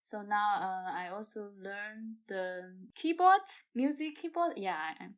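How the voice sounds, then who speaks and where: Young female podcast guest, Chinese accent, mid-pitched, informal conversation. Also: animated, a little slow. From China. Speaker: podcast guest, amateur theatre member. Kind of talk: casual chat.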